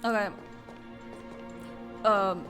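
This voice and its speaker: A woman streamer giving casual, animated and playful live reactions to a game, full of exclamations. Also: medium pitch, extremely slow. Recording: loud game music behind the voice.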